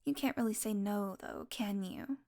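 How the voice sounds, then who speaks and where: A woman's voice: mid-range voice, moderate pace, dark tone, lightly acted. A woman playing a sardonic, flirtatious, possessive female vampire in an intimate audio roleplay, talking teasingly to the listener and sometimes dropping into a murmur. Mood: teasing, wry. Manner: sardonic, teasing, casually swearing, slipping into breathy murmurs.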